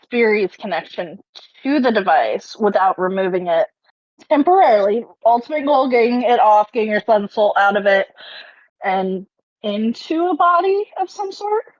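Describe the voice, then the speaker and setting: A woman talking through a tabletop roleplaying game, moving between casual discussion and roleplay, on rough audio. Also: medium pitch, animated, normal speed, no room sound. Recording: dull, dry, rough online-session audio.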